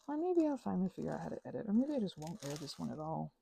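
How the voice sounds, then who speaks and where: A woman's voice: lowish voice, big pitch swings, racing, gravelly, mellow, slight background noise. A woman chatting informally to her listeners in a rambling, meandering way, drifting into asides and offering casual encouragement. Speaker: ASMR and audio channel creator.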